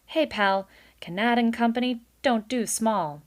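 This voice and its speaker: Woman playing a brash, loud beaver boss. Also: on the high side, unhurried, voice pushed up, natural voice. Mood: boastful, hearty. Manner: breezy salesman patter, slangy, emphatic. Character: brash, booming, slick male beaver boss.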